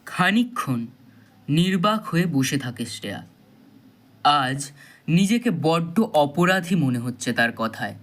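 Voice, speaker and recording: A man narrating a thriller audio story in a dramatic, suspenseful style with measured pauses. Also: on the high side, sing-song, a bit bright.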